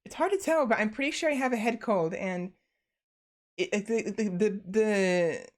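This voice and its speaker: A woman's voice: medium pitch, moderate pace. A tired young woman streamer chatting casually and rambling to her viewers, with lots of restarts and fillers.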